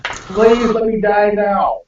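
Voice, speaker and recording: A man's voice: sits high, level tone, slow pace, crowd noise. A man in casual joking chat with a world-weary, sardonic way of talking. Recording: very echoey, distant, muffled mic.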